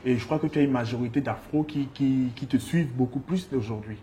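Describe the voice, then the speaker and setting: A man's voice: medium pitch, fairly quick, mellow. A man, hosting a casual conversational interview. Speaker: interviewer, host.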